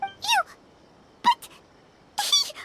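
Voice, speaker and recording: A woman voicing a small, excitable childlike game companion, squeaky, blunt and easily worried. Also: drawn-out words, slight background noise, exaggerated voice. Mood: shocked, protesting. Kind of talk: video game voice acting.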